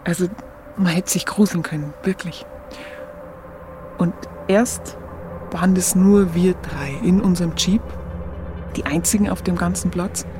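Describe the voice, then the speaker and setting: An actress playing an earthy woman, recalling wartime Munich in halting, colloquial radio play speech. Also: on the low side, over music, close to an everyday voice. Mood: awed, then excited. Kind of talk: naturalistic radio play reminiscence.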